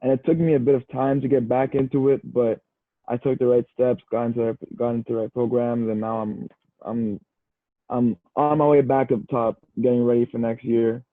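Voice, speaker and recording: A man's voice: medium pitch, normal speed, no room sound. A young Canadian man, a university football player, chatting easily as a podcast guest, often saying "bro" and "you know". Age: young adult. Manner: relaxed, easygoing, says "bro" and "you know" a lot. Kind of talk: casual podcast chat. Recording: tinny phone-like line, dry.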